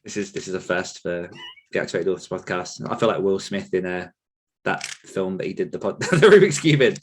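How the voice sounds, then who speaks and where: Low-voiced man, rambling and self-deprecating. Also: a little fast, slight background noise. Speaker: podcast host and author telling his own story. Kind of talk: casual reflective podcast conversation.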